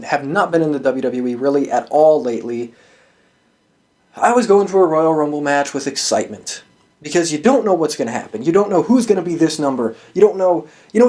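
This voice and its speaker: A man's voice: on the high side, darkish tone. A man hosting a predictions show, giving casual, opinionated commentary with plenty of swearing. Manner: sweary, opinionated fan talk. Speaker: host of a wrestling predictions show.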